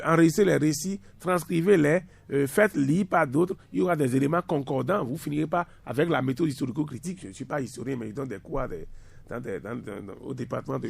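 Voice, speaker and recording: A man's voice: medium pitch, gravelly, slightly rough. A man in his fifties, a university lecturer speaking as a radio guest, emphatic and fond of repeating words for stress. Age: 50s. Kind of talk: radio discussion.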